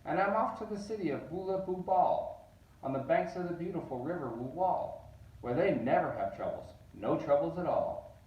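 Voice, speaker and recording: A man's voice: fairly high pitch, animated, normal speed, voice pushed up, lightly acted. A man voicing a friendly but fretful doorman in a rhyming children's storybook. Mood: resolved. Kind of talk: children's storybook read-aloud. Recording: roomy, distant, dull sound.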